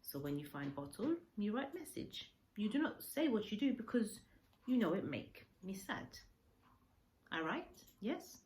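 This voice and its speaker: A woman voicing an earnest, gentle, sorrowful old Japanese man in a children's storybook, speaking halting, simple broken English. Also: mid-range voice, very animated, voice pushed up. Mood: confiding, regretful. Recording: echoey room, faint background noise.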